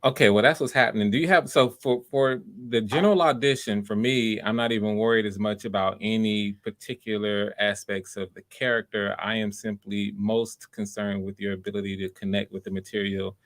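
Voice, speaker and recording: A man's voice: fairly low pitch, fairly even intonation, brisk, few pauses, a bit bright. A man hosting online auditions in a casual, conversational way, with an enthusiastic and encouraging manner. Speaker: host of online voice auditions, casting for a project.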